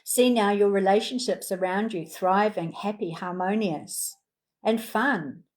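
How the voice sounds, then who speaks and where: A woman guides a healing meditation in a soothing, unhurried voice. Her pitch sits in an ordinary middle range, and she moves through phrase after phrase of gentle repeated affirmations.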